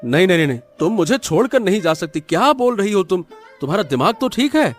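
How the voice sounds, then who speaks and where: A man's voice: high voice, sing-song, fast, soft background music. A man voicing a helpless, honest poor young farmer in a cartoon story, pleading and wailing with stammered repeats. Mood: shocked pleading. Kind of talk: Hindi cartoon moral story acting.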